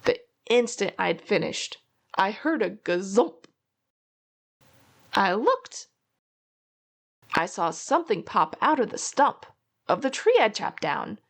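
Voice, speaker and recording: A woman reading a rhyming children's storybook aloud in an expressive, theatrical way. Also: medium pitch, gravelly. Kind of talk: read-aloud storytelling of a rhyming picture book.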